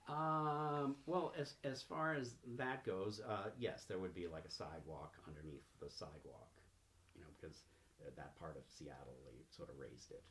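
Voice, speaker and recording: A man narrating a tabletop roleplaying game as game master, feeling his way through in a halting delivery. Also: deep voice, a bit bright. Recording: roomy echo, faint-to-clear noise.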